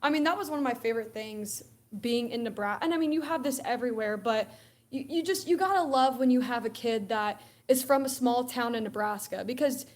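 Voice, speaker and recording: A young woman from Alabama chats about college football as a show guest. Her voice sits a little high, and she talks in a loose, chatty way, laughing often and acting out bits of conversation. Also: fairly quick. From Alabama, USA. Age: young adult. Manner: chatty, laughs often, acts out quoted exchanges. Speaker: guest co-host. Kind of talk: casual sports chat.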